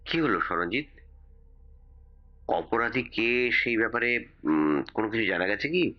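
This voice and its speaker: A man playing a calm, businesslike investigator reporting over the phone in an audio detective drama, measured and explanatory. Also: lowish voice. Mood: inquiring, serious. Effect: telephone filter. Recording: muffled phone-filtered voice over music.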